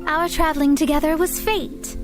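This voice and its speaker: Proud young mage woman voice actor: high register, formal, reserved, fantasy anime game, faint music underneath. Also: fairly even intonation, moderate pace, close to an everyday voice. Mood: earnest, formal. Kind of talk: video game voice acting.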